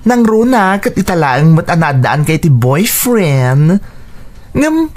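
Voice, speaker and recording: A man's voice: a little high, big pitch swings, slow pace, darkish tone. A man playing a concerned, frank co-worker and friend in an Ilocano radio drama.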